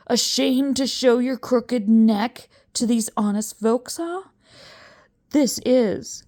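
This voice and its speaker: A woman voicing a pompous, menacing showman professor who conjures ghosts, with a commanding, drawn-out theatrical delivery in a storybook reading. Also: medium pitch, a little mellow. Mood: impatient snarling.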